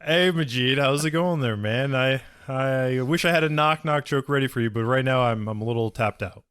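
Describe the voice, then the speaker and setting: A man co-hosting a podcast in casual chat, speaking in a measured, somewhat hesitant way. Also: mid-range voice, a bit bright, smooth. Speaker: podcast co-host.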